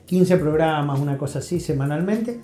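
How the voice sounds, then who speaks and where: A man's voice: fairly high pitch, moderate pace, warm tone. A middle-aged Argentine man chatting reflectively as an interview guest, explaining things and checking in with short tag questions. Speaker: interview guest, health professional. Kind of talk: casual, reflective interview talk. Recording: roomy sound with music.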